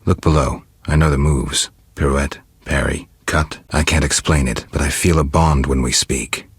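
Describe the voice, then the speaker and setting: An actor voicing a stoic, sardonic monster-hunting warrior in a video game, gruff and gravelly, with a terse, deadpan way of talking. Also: male voice, extremely low pitch, moderate pace, dry recording, heavily acted. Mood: dry, composed. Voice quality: gravelly, husky rasp.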